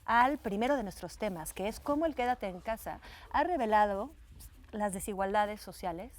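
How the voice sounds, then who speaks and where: A woman's voice: medium pitch, expressive, moderate pace. Upbeat woman hosting a talk panel. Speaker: host.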